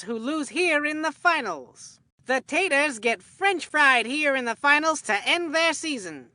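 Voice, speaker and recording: An excitable male cartoon baseball commentator with a high-pitched, forceful voice. The delivery is folksy and hammy, full of showman's polish for a video game, and the sound is dry and close. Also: no room sound, exaggerated voice. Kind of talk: scripted video game sports commentary with puns.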